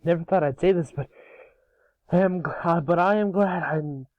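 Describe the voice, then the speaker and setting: A man's voice: on the high side, moderate pace, dry recording, highs cut off, close to an everyday voice. A man playing an eager, brash boy hero in an amateur cartoon table read. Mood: earnest, excited.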